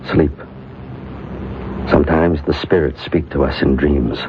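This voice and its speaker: Very deep, grave old medicine man. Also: steady tone. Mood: grave, counseling. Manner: solemn, measured, formal. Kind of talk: old-time radio drama acting. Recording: muffled, noisy old radio drama recording.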